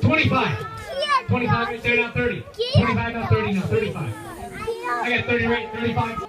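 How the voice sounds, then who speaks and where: A man working as an auctioneer, calling bids in a quick repeating chant at an outdoor yard auction. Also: very high-pitched, big pitch swings, distant mic, very noisy. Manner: rapid rhythmic bid chant. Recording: echoey loudspeaker with loud crowd, from afar.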